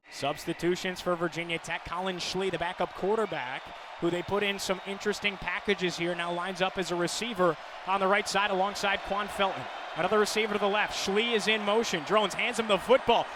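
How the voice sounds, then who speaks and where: Male radio sportscaster, very high voice, clipped vivid play-by-play, builds to shouting, loud venue noise behind. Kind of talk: live radio sports commentary.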